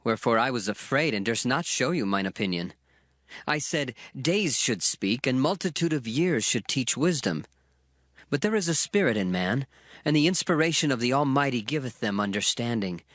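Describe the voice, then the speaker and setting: A man's voice: medium pitch, lively intonation, normal speed, a bit bright, no room sound, studio quality, natural voice. An actor playing an indignant young man earnestly rebuking his elders in a dramatized scripture reading.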